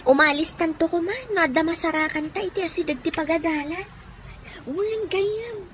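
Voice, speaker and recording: Woman acting high-voiced young Filipino provincial newcomer. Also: normal speed, a little hiss or hum. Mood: disapproving, wistful. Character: young provincial woman newly arrived in the city. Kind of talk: amateur Ilocano audio drama acting.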